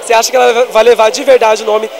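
A man's voice: very high-pitched, flat delivery, fast. A young man, working as an event reporter with a handheld mic and interviewing families in a crowded festival hall. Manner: upbeat, raises his voice over the noise. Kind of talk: on-the-spot interviews.

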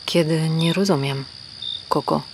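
A woman's voice: low voice, steady tone, a little slow, background noise. A woman playing a naive, lovesick young hen in a children's audio play, meek and simple, tacking clucks onto her phrases. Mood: puzzled, meek.

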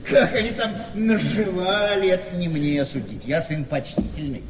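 An actor playing a jovial, nosy rich idler in a theatrical radio play. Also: male voice, sits high, moderate pace, close to an everyday voice. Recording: noisy, echoing hall-like radio play recording.

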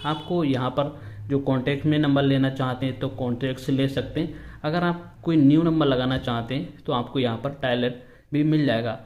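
A man's voice: mid-range voice, fairly even intonation, normal speed, phone-quality sound. A man hosting an instructional app walkthrough, explaining each step repetitively. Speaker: tutorial host.

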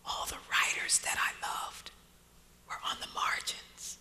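A woman poet telling personal stories as a guest speaker, in a hushed, whispering delivery. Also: measured pace. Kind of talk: personal storytelling at a live talk.

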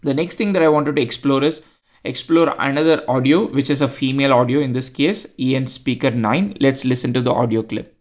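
Indian guy, chatty tutorial host, lots of um and like, mid-pitched. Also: brisk. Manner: chatty, many fillers and restarts. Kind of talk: screen-recorded coding tutorial.

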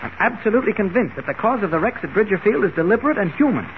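An earnest newspaper reporter from a 1940s radio serial. He talks quickly and insistently in a high-pitched male voice. The old recording is muffled. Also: big pitch swings, a little hiss or hum. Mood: insistent, grave. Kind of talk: 1940s radio drama acting.

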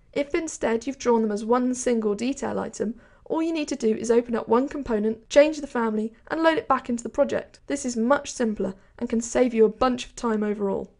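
Higher-pitched woman narrating a tutorial. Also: darkish tone. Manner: instructional, methodical step-by-step explaining. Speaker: software course instructor. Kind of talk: scripted tutorial narration over screen recording.

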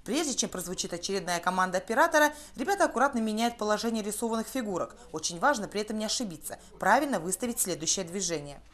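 A woman's voice: mid-range voice, lively intonation, fast talker, hardly any pauses, fairly bright tone. A young woman, narrating a scripted news report as a reporter.

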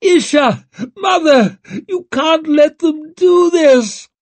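A man's voice: extremely high pitch, slow, darkish tone, no echo, pitched above the performer's own voice. A man voicing a panicking, entitled young nobleman in an audiobook, screeching and wailing. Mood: desperate wailing.

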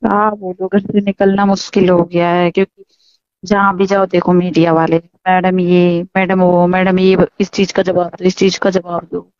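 A woman's voice: fairly low pitch, flat delivery, moderate pace, a little mellow, clean tone, dry recording. A woman talking casually in a private phone conversation, heard on a dull lo-fi call recording.

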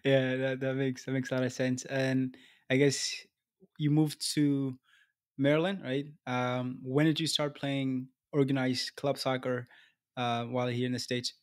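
A man's voice: mid-range voice, flat delivery, unhurried, dry recording, studio quality. A young man from Ethiopia hosting a casual interview podcast, laid-back and easygoing with plenty of slang. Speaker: podcast host. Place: small room indoors. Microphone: studio mic on desk.

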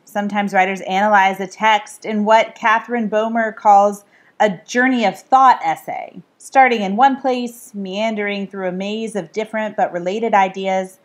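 A woman author reading aloud from her nonfiction book, explaining in measured phrases. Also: medium pitch. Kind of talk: scripted read-aloud of nonfiction.

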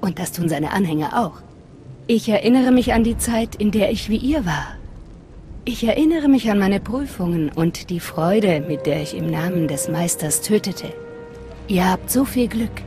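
An actress voicing a fanatical assassin and devout cult guardian in a video game, reverent and measured. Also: female voice, medium pitch, expressive, warm tone, close to an everyday voice. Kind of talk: dramatic video game dialogue. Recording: prominent music behind voice.

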